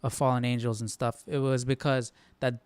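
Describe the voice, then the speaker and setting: A man with a smooth, clear voice in a dry, close-sounding space, hosting a casual live study. He talks informally, working through his thoughts aloud and often checking that the listener follows. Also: mid-range voice, little pitch movement, normal speed, a little mellow, no echo. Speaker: host of a live Bible study stream. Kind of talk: casual live commentary on scripture.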